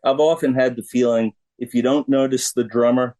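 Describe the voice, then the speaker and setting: A middle-aged American man answering questions in a casual interview, speaking in an unhurried, halting way. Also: medium pitch, steady tone, dark tone. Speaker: interview guest, drummer.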